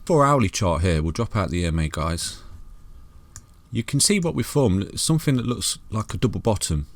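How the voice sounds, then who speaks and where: A man's voice: deep voice, no room sound. A man with a soothing voice walks through crypto charts in a casual, chatty way, calling listeners "guys" and "mate" and restarting phrases often.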